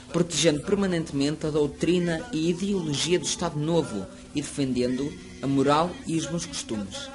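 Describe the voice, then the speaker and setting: A man reading a school history presentation aloud, steady and prepared. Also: on the high side. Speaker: student narrator. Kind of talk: school project narration read aloud. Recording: music playing under the voice. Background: music.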